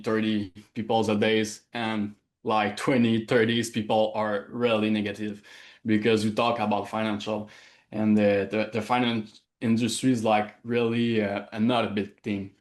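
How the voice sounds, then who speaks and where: A man from Quebec with a Quebec French accent, a native French speaker, answering interview questions in English and often pausing to search for words. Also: lowish voice, level tone. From Quebec, Canada. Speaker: interview guest. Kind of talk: casual interview.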